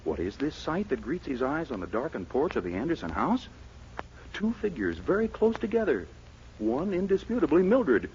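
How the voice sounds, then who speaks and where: A man narrating a scripted 1940s radio comedy as its announcer, with a solicitous, mock-dramatic manner. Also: medium pitch. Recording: muffled, noisy 1940s radio recording.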